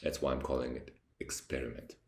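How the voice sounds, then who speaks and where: A young Austrian man with a very deep, gravelly voice speaks English with a German accent. He talks slowly and thoughtfully, pausing often to search for words, and his voice carries the echo of the room around him. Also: level tone, slight background noise. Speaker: vlogger. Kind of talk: unscripted talking to camera. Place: high-rise apartment by a window.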